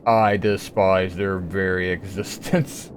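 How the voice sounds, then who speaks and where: A man giving casual gameplay commentary as a video creator, blunt, sarcastic and quick to swear. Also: low-pitched, level tone, a little hiss or hum.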